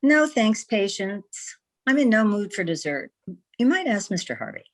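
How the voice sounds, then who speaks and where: A woman's voice: mid-range voice, sing-song, normal speed. Headstrong newspaper editor, a woman acting with swooping delivery. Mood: curt. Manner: emphatic, indignant. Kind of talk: old-time radio comedy acting.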